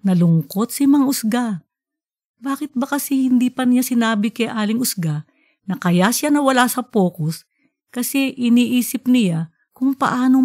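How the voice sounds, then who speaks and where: A woman's voice: a little high, a little mellow. A woman narrating scripted fiction as the storyteller of an audiobook podcast.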